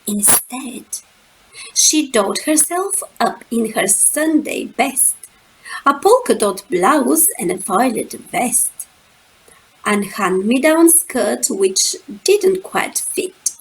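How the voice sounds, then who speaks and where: A woman reading a rhyming children's story aloud, expressively and rhythmically, with dramatic pauses and drawn-out words. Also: a little high, very animated, low-quality recording.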